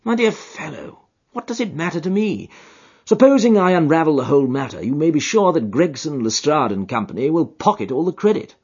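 A man reading aloud voices a sharp, aloof male detective, quick and superior. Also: fairly high pitch, very animated, husky, voice pushed up. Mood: languid. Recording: dull lo-fi recording.

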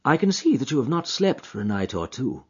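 A man's voice: high voice, lively intonation, brisk, slightly rough, highs cut off, voice pushed up. A man voicing a composed, shrewd middle-aged detective in an easy, genial, precise manner. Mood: assured.

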